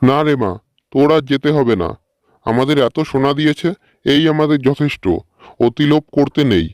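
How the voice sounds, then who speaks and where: A man's voice: fairly high pitch, moderate pace, a little mellow, no echo, lightly acted. A man voicing a cautious, suspicious middle-aged village father in a cartoon story. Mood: cautioning.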